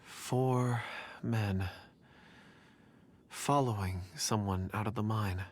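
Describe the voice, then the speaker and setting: A man voicing a shy, polite miner in a video game, gentle and a little hesitant, sometimes stammering. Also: lowish voice, slow.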